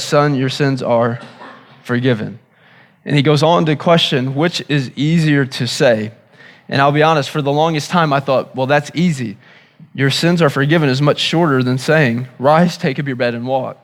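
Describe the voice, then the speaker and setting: A pastor preaching a sermon in an earnest, teaching manner, sounding a little hoarse and often repeating himself as he restarts phrases. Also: fairly high pitch. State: hoarse, recovering from lost voice.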